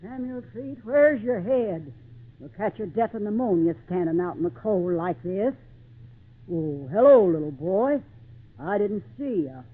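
A woman's voice: medium pitch, animated, measured pace. A woman playing a bossy, motherly circus fat lady, scolding and fussy, in an old-time radio drama. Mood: fussing, surprised. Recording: tinny band-limited 1940s radio recording.

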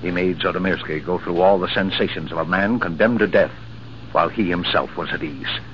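An actor playing a sober army doctor in old-time radio drama, speaking formally and seriously. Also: male voice, low-pitched, a little fast, a bit bright. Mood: grave. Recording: dull, noisy 1940s-50s radio recording.

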